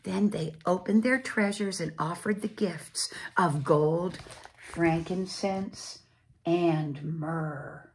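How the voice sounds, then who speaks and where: A woman reading a storybook aloud to children as a church storyteller, with deliberate, dramatic phrasing and pauses. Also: fairly low pitch, darkish tone.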